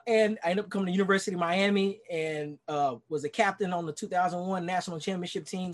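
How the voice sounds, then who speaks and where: A man in his forties from Dallas with a Texan accent, a pastor sharing his life story in a casual interview over a video call, earnest and rambling with many fillers. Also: sits high. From Dallas, Texas. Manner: earnest, rambling, many "you know"s and restarts. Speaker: pastor and podcast guest.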